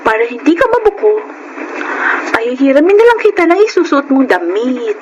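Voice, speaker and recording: A woman's voice: high-pitched, very animated, normal speed, muffled recording, background noise. A woman with a Filipino accent playing a scheming, pushy teenage schoolgirl and fake friend in a radio drama, wheedling and exaggerated. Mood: coaxing.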